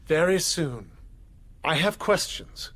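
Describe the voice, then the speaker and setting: An actor playing an authoritative, secretive gentleman investigator in a period audio drama, husky, measured and formal. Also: fairly high pitch, lively intonation, exaggerated voice. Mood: reassuring, firm.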